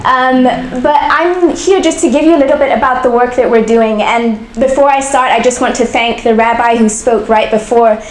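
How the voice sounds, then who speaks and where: A young woman from Zambia giving a friendly, conversational presentation to a class, often pausing with "um". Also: a little high, a little hiss or hum. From southern Africa, Zambia. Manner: friendly, conversational, with frequent ums. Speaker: guest speaker for a nonprofit. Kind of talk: informal presentation to a congregation class. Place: synagogue class room. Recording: echoey room.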